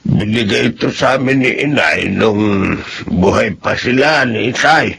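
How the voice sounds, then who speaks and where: Slow, gravelly old Filipino man. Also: fairly low pitch, fairly bright tone, slight background noise. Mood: wistful. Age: older. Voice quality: gravelly, creaky. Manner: slow, halting, grateful. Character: poor, grateful elderly brother caring for his sick sister. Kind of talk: Filipino radio-style drama acting.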